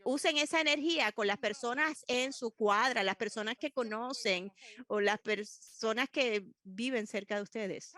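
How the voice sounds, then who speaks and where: Woman interpreting live, halting restarts. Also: a little high, a bit bright, no room sound. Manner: halting, frequent restarts and self-corrections while interpreting. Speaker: simultaneous interpreter. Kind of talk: live interpretation of a panel discussion.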